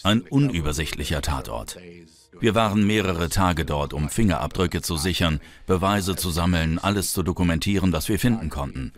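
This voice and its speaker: A man's voice: low voice, moderate pace, soft background music, close to an everyday voice. An actor voicing a male police investigator recounting a case in a dubbed documentary interview. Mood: matter-of-fact.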